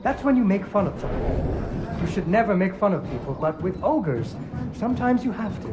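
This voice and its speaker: A woman's voice: medium pitch, big pitch swings, normal speed, natural voice. An actress playing a patient mother in a video game, telling a fairy tale to a child with dry humour. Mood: storytelling. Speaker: storyteller narrator in a video game. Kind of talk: storybook narration for a child. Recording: music behind.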